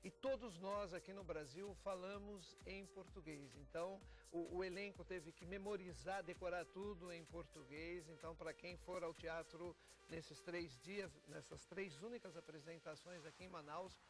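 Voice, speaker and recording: A Brazilian man from a theatre company giving an explanatory TV interview, often pausing and repeating words as he goes. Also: high-pitched, steady tone, faint music behind. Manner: explanatory, with frequent pauses and repeats. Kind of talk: TV interview talk.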